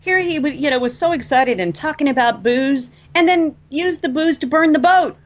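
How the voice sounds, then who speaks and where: A woman's voice: sits high, expressive, normal speed, highs cut off. A mother co-hosting a TV review livestream with her son, playful and giggly. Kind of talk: casual chat.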